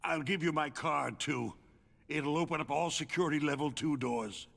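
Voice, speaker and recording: An actor playing a weary, wounded, middle-aged arms company executive in a dramatic video game, explaining himself in a halting, deliberate, defensive way. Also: male voice, on the high side, gravelly, fairly bright tone. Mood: bitter, urgent.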